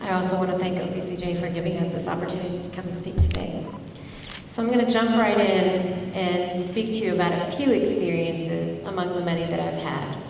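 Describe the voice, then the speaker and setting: A woman's voice: on the low side, brisk, creaky. Middle-aged woman telling a story in a hall. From Tulsa, Oklahoma, United States. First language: Turkish. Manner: conversational, animated when retelling events. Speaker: speaker at a community dialogue event. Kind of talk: personal storytelling speech. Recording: echoey hall, distant mic, faint background noise.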